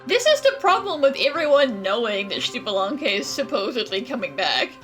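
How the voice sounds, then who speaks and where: Bright, high-voiced woman streamer. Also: big pitch swings, normal speed, faint music behind. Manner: jokey, voices mock quotes. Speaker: game streamer. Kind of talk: casual reaction commentary.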